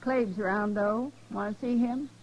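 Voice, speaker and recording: A woman's voice: mid-range voice, fairly even intonation, unhurried, a little mellow, slight background noise, lightly acted. An actress playing an anxious frontier rancher's wife and mother in a 1950s western radio drama. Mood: guarded. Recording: muffled vintage radio recording.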